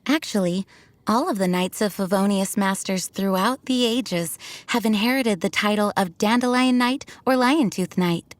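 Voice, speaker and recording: An actress voicing a dutiful, earnest female knight commander in a video game, speaking formally and in measured tones. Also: mid-range voice, no room sound, lightly acted.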